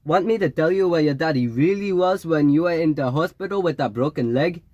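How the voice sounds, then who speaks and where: A man voicing a cryptic, pushy psychic in an audiobook, with an exaggerated Jamaican accent and drawn-out, needling delivery. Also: a little high, a little mellow, no room sound, lo-fi sound, pitched above the performer's own voice, lightly acted. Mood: taunting. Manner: drawn-out mystical pronouncements, needling questions. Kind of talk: audiobook character voice.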